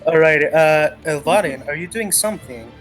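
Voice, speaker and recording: Male game master, slightly high voice, halting improvised narration, repeats himself, guitar music underneath. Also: expressive. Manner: halting, repeats phrases while thinking.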